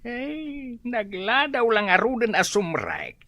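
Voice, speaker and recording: A woman's voice: fairly high pitch, wildly swooping pitch, takes it slowly, bright, forceful tone, dry recording, voice pushed up, full character voice. A woman putting on a fussy Ilocano man. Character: older male office worker, fussy.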